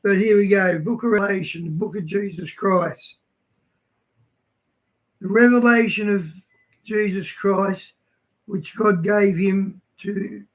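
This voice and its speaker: A hoarse man hosting a livestream, reading scripture aloud haltingly and chatting casually. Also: sits high, low-quality recording. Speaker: livestream host.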